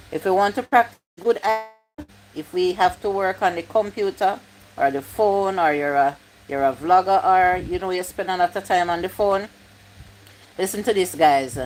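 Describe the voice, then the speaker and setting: A woman's voice: lowish voice, normal speed, soft background music. Chatty woman in her fifties. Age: 50s. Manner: chatty, repeats points, reads viewer comments aloud. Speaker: YouTube live streamer. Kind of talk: casual livestream chat.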